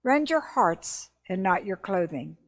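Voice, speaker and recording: Slow-paced older woman reading scripture. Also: medium pitch, very animated. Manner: measured, formal, reading aloud. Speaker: scripture reader. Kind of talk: solemn prayer and scripture reading. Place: indoors at a church lectern.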